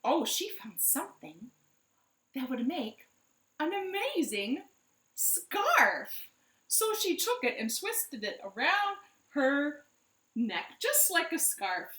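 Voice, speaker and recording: A woman's voice: high-pitched, sing-song, takes it slowly, bright, forceful tone. A woman hosting a children's storytime, telling stories playfully and putting questions to her young listeners, recorded in a living room. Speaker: library storytime host. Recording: roomy home echo.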